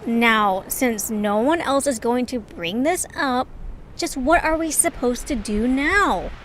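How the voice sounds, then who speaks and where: A grumpy, sarcastic female adventurer, played by a woman, speaks high and slowly. Every phrase is dry and sharp-tongued, in audio drama style over faint noise. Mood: skeptical.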